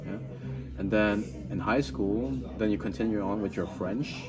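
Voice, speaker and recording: A young man with a Flemish accent and a lowish voice explains local things in a loose, chatty way, dotting his speech with "like" and "uh". Loud music plays behind him. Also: normal speed, poor audio. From Flemish part of Belgium. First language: Dutch. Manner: chatty, frequent "like" and "uh" fillers. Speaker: local guide. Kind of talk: casual explaining chat.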